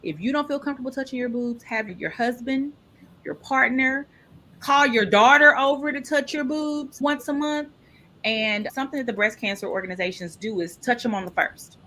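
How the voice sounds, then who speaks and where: A woman's voice: high voice, normal speed, pushed and bright. A woman guest speaking candidly and animatedly in an interview about her own experience. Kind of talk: casual interview talk.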